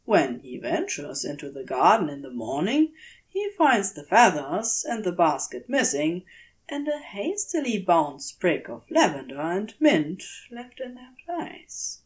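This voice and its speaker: A woman reading a scripted story aloud in an expressive, gentle, deliberate way, letting phrases end softly. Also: fairly low pitch. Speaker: audiobook-style fan fiction reader.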